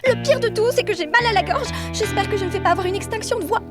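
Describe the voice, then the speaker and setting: A woman's voice: very high-pitched, fast talker, over loud music. A woman voicing a vain, self-admiring teenage girl pop singer in a French cartoon dub, showy and self-important.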